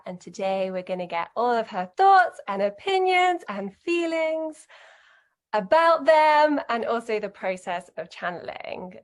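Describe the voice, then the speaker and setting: A woman hosting an interview over a video call, asking encouraging questions and laughing along with her guest. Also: medium pitch. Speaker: interviewer, channel host. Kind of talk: casual interview.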